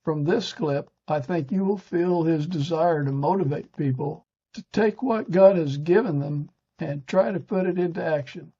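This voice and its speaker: Husky older man reading stiffly, dull recording. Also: fairly high pitch, moderate pace, mellow. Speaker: podcast host. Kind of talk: scripted narration read from a teleprompter. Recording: dull, lo-fi sound.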